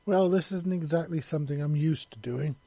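A man's voice: a little high, brisk, creaky, dark tone, slightly rough, dry recording, voice pushed up. A man voicing a nervous, obedient human soldier in an audiobook story, deferential and hesitant. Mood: nervous, truthful.

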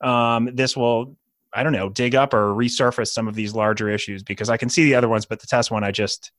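A man's voice: medium pitch, steady tone, a little fast, a bit bright, no echo, clean studio sound. A man hosting a podcast interview, asking questions in a rambling, hesitant way with folksy asides. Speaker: podcast host.

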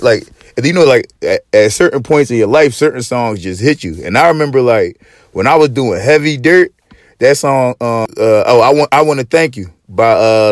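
A man with a slightly raspy, mid-range voice hosts a podcast in loose, profane, joking rants. His pitch swings high when he gets worked up. The sound is dry and close to the mic. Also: no room sound. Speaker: podcast host. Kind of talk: casual chat.

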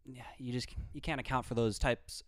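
A man's voice: mid-range voice, steady tone, fairly quick, no room sound, studio quality. A man co-hosting a casual sports podcast, explaining his views confidently with fillers like "right" and "like". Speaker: podcast co-host.

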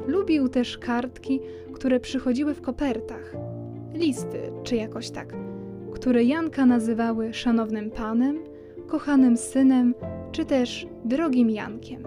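A woman's voice: on the high side, fairly even intonation, a little slow. A woman narrator reading a scripted children's story. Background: music.

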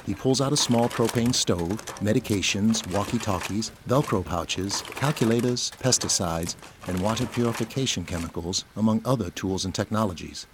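A man's voice: lowish voice, normal speed, few pauses, background noise. A man delivering scripted, present-tense narration for an audio drama. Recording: music behind the voice.

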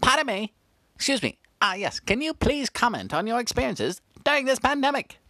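A woman playing a deadpan, falsely formal street reporter in a comedy sketch. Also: a little high, normal speed, no room sound, studio quality. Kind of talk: comedic mock news report.

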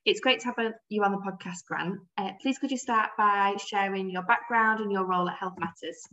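A woman's voice: mid-range voice, steady tone, a little fast, bright, forceful tone. A woman hosting a podcast, asking encouraging interview questions. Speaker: podcast host. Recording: slightly dull recording.

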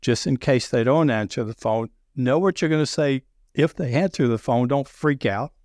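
A middle-aged man with a deep Southern accent, talking loudly and telling sales stories with many restarts. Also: normal speed, no room sound, studio quality. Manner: loud, animated storyteller with frequent restarts. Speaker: sales podcast guest. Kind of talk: casual conversation.

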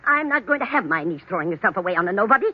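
A woman's voice: medium pitch, big pitch swings, racing, slightly rough. A woman playing a sour, hot-tempered rich old aunt in radio drama style, curt and scolding. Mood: stern, indignant. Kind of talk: 1940s radio drama acting. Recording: muffled old radio recording.

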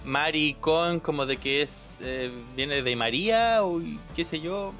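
A man's voice: fairly high pitch, a little slow, a bit bright, faint music behind. A man with a Chilean accent, chatting casually with his siblings as a host, explaining things and joking in banter. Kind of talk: casual family chat.

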